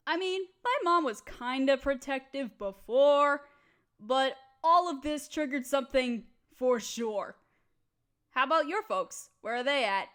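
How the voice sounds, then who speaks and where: High-voiced, restless young woman roommate acted by a woman. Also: animated, unhurried, fairly bright tone. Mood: chatty. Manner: slangy, dramatic, playfully complaining. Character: bored, restless, chatty young woman roommate stuck in quarantine. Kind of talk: casual scripted roleplay audio, talking to the listener.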